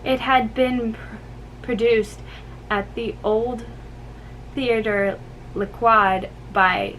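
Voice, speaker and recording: A woman giving a dramatic amateur book reading, halting and stumbling over difficult names. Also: fairly high pitch, steady tone, fairly bright tone, poor audio. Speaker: amateur reader. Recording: faint background noise.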